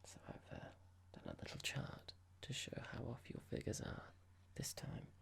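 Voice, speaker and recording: A performer voicing a horrible, condescending office boss in a whispered ASMR roleplay, mock-polite and slow, asking pointed, patronising questions. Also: close to an everyday voice. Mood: smug, reproachful.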